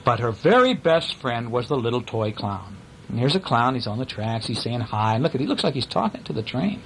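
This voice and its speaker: Animated father reading to young children. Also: medium pitch, a little fast, vocal fry, slight background noise, low-quality recording. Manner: playful, acts out sound effects and asks questions. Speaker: father reading a bedtime story to his children. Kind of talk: casual story reading to young children with asides and questions.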